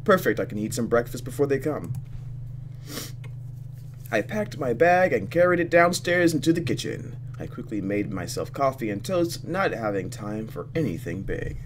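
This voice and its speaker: A man doing expressive let's-play commentary, reading story text aloud with sarcastic asides. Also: mid-range voice, moderate pace, a little hiss or hum. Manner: expressive, sarcastic asides between read lines. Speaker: let's player, channel host.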